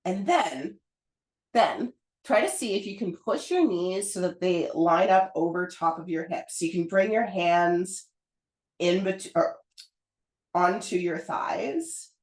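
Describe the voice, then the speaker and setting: A pilates instructor who is getting over a cold and sounds hoarse, calmly talking a class through each move in an encouraging way. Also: female voice, fairly low pitch, takes it slowly. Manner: calm, encouraging step-by-step cueing. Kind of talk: guided pilates class cues. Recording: roomy echo.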